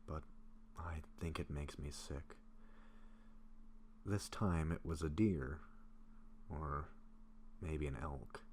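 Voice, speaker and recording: A man performs a gentle, self-doubting male vampire confiding in his best friend in an audio drama. His voice is extremely deep and he talks slowly and haltingly, with soft affection. A faint noise sits behind the recording. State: sick, occasional coughing. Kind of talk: intimate roleplay audio drama. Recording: faint steady background noise.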